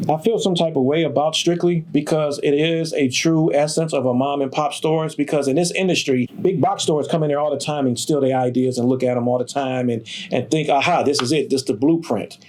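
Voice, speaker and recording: A middle-aged man, speaking in a slightly higher register than most men. He talks in a streetwise, emphatic and profane way, as in a documentary interview. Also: darkish tone. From Detroit, USA. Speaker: sneaker store owner.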